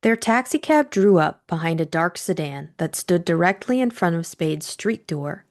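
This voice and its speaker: Slightly low-voiced woman narrator. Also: lively intonation, moderate pace, a little mellow. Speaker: audiobook narrator and co-host. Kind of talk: scripted story narration and book discussion.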